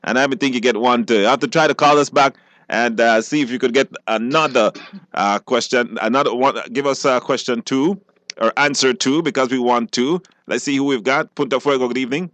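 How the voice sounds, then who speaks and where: A man's voice: mid-range voice, a little fast, a bit bright, no room sound. Male radio host, live phone-in talk with mid-range voice. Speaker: radio call-in show host.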